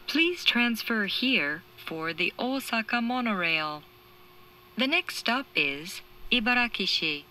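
Woman, train announcer, formal, lively intonation, separated phrases, onboard speaker, faint running noise. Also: a little high, low-quality recording. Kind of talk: scripted recorded train announcement. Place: recorded inside a train carriage.

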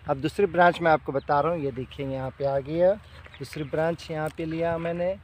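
Guy, kinda high voice, farmer showing stuff step by step out in a field, lots of outdoor noise. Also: steady tone, fairly quick, darkish tone. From India. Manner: step-by-step, repeats himself while demonstrating. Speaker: fruit farmer presenting a tutorial. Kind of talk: informal hands-on farming demonstration. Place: outdoors in an open farm field. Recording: clear outdoor background noise.

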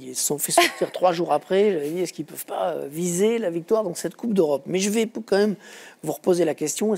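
A man's voice: a little high, vocal fry, darkish tone, breathy edge. A man hosting a TV sports debate in a studio, asking questions with self-mocking asides. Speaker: TV show host.

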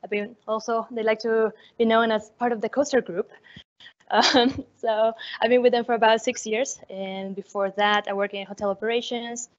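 Panelist: Honduran-accented woman, level monotone, lo-fi room sound. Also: on the high side, normal speed. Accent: Honduran Spanish. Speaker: panelist, analytics manager. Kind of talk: panel introduction. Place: hotel conference room with an audience. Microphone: handheld microphone. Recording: dull lo-fi sound.

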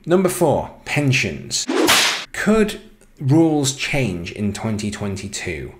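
A man narrating a scripted explainer as presenter, in a measured, announcing manner. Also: mid-range voice.

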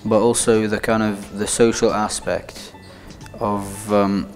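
Hesitant young man, low voice. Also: flat delivery, slow, soft background music. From Egypt. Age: young adult. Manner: hesitant, repeats words. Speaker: student. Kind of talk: testimonial interview. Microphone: lapel.

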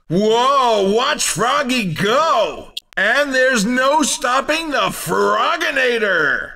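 Super hyped cheery racing game announcer, really high bright voice, showy as anything. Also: male voice, recorded from a distance, full character voice. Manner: exclamatory, punchy, showy. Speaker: race commentator. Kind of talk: excited video game race commentary.

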